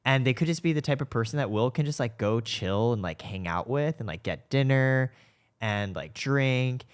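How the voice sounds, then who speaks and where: A man's voice: medium pitch, expressive, normal speed, clean tone. A man hosting a podcast in a casual, conversational interview style, speaking informally and with enthusiasm. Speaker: podcast host and startup co-founder.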